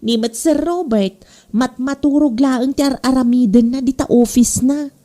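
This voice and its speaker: A woman's voice: sits high, moderate pace, warm tone, lightly acted. A woman playing a gossipy office co-worker in a comedy audio drama. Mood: complaining.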